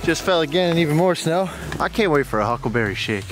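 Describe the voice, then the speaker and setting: Young male vlogger, American, higher-pitched, casual outdoor chat with clear background music. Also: lively intonation, fast. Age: young adult. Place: outdoors on snowy forest trail. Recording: music over the voice.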